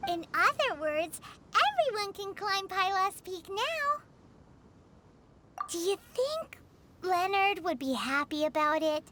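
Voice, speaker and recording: A woman's voice: extremely high pitch, big pitch swings, takes it slowly, noisy background, heavily acted. A woman voicing a tiny childlike fairy companion in a video game, cutesy, naive and curious. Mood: curious, pondering. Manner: cutesy, refers to self in third person. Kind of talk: video game character dialogue. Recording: music behind the voice. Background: music.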